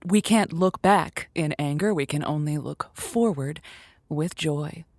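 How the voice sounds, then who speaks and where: An effusive lonely-hearts radio host in an audio comedy. Her voice sits a little low and is recorded dry. She gushes and stumbles over repeated words, earnest and easily flustered. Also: no echo. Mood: warm, delighted. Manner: effusive, halting with stammers and repeats. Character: gushing, flustered woman hosting lonely-hearts radio show. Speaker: radio call-in advice show host.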